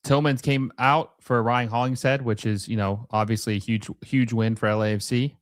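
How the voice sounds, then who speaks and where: A man's voice: mid-range voice, steady tone, moderate pace. The man you would hear on a fan's live watch-along stream, casually calling each touch in short bursts from a dry, echo-free spot. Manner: casual fan-like reactions in short, clipped play-by-play phrases. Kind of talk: casual live match commentary.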